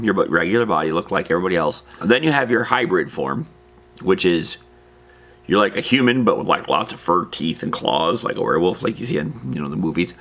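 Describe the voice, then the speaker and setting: A man's voice: sits low, a bit bright. A man giving a casual, unscripted explainer as a host, in an informal, matter-of-fact, rambling way. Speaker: host of a tabletop roleplaying lore channel.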